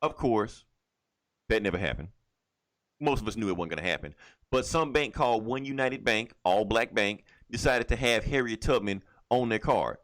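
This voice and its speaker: A man co-hosting a podcast, chatting casually about comics and games in a profane, opinionated, animated way. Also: fairly low pitch, moderate pace. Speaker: podcast co-host. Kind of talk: casual comic book and video game chat.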